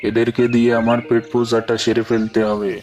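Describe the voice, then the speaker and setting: A man's voice: medium pitch, monotone, brisk, a little mellow, a little hiss or hum, natural voice. A man voicing a hungry, sly, grumbling tiger in a children's cartoon. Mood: hungry, scheming. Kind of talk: Bengali children's cartoon dubbing.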